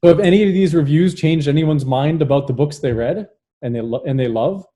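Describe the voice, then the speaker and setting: A man with a fairly high, relaxed voice in an informal panel conversation. He sounds mellow and speaks casually, as a librarian chatting with colleagues. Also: brisk.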